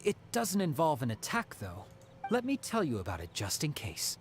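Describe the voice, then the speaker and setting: An actor voicing a polite, helpful city official in a video game, explaining things courteously. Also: male voice, on the high side, huge pitch swings, moderate pace, faint music behind.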